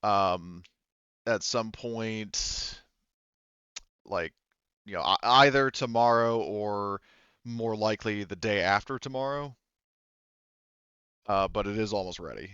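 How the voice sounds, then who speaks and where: A man casually running a tabletop roleplaying game as game master, halting and thinking aloud as he goes. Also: lowish voice, fairly bright tone, smooth, no echo, lo-fi sound.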